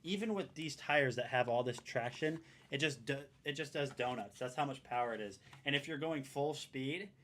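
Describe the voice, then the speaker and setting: A man enthusiastically demonstrating and recommending a product, repeating himself in excitement. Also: normal speed, hardly any pauses, slight background noise. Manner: excited, repetitive pitching. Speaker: product reviewer.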